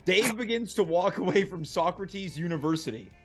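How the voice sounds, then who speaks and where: Animated higher-pitched man reading stage directions. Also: moderate pace, husky, soft background music. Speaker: stage-direction reader at a comedy table read. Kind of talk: scripted narration, read aloud.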